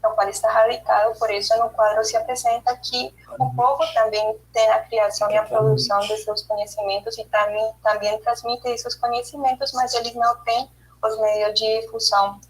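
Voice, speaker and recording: Woman on a video call, somewhat high voice, hesitant presenter with fillers. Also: little pitch movement, normal speed, poor audio. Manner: careful, hesitant, with many fillers and restarts. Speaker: study group presenter. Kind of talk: online study group presentation.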